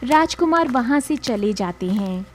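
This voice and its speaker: Slow-paced woman narrating a story. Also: a little high, lively intonation, slight background noise.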